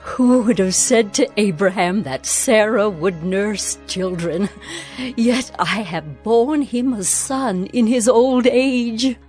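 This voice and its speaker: An elderly matriarch of scripture drama, played by a woman, imperious and emphatic. Her delivery is slow and deliberate, with music behind it. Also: medium pitch, darkish tone, close to an everyday voice. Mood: joyful wonder. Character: Sarah, elderly new mother, imperious matriarch. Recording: background music under the voice.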